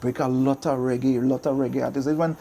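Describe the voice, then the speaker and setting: A man's voice: medium pitch, steady tone, fast talker, creaky, dark tone, slight background noise. A man with a Trinidadian accent chatting casually as an interview guest, emphatic and repeating phrases to drive his points home. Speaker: guest, radio DJ and soca artist.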